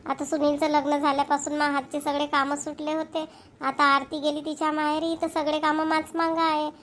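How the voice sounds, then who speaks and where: A woman's voice: sits high, level tone, brisk, fairly bright tone, a little hiss or hum. A woman with a Vidarbha Marathi accent voicing a grumbling, self-pitying older mother-in-law in an amateur cartoon. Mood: complaining. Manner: nagging, complaining.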